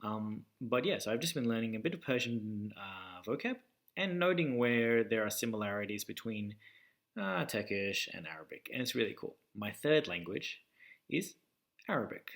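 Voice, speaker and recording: A young man casually talking to his channel about learning languages, pausing often with ums and uhs as he thinks aloud. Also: lowish voice, very animated, vocal fry, a bit bright. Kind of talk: casual talking-head vlog.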